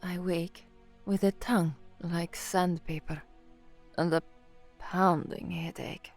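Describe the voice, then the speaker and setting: An actress playing a young newsreader in a science fiction audio drama, weary and anxious. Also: female voice, fairly low pitch, steady tone, slow pace, faint music behind. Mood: weary, introspective. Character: young slum-born newsreader, anxious, weary, hard-drinking narrator. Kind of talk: audio drama first-person narration and newsreading.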